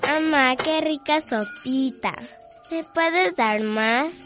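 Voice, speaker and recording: A sweet, trusting little girl of six in a radio play, speaking slowly in a high, slightly creaky childlike voice. Music plays behind her. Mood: curious. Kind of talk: children's radio drama acting.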